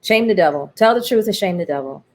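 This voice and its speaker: A woman with a slightly low voice talks candidly in an interview about her creative work. She is reflective and expressive, slipping into quoted remarks as she goes. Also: quick pace. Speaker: playwright being interviewed.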